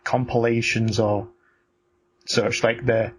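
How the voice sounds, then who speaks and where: A man giving blunt, sweary opinions in casual, halting film talk as a podcast co-host. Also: medium pitch, flat delivery.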